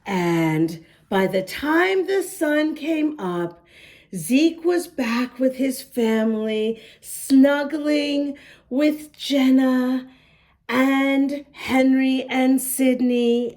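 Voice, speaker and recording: A woman reads a picture book aloud for young children in a high voice. Her delivery is slow and expressive. She speaks directly to her small listeners, with instructive asides along the way. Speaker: children's story reader.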